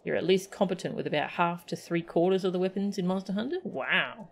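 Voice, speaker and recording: A woman's voice: lowish voice, a little fast. A woman streaming a game with casual, playful, chatty live commentary. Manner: playful, chatty, goofy sound effects. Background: faint game music.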